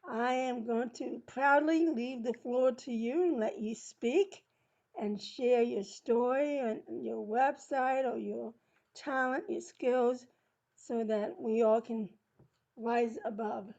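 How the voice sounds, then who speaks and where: A woman with a New York accent hosts a podcast in a high voice and an animated manner. The sound is a little dull and muffled. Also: slow. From New York City. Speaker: podcast host. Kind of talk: hosting a podcast interview.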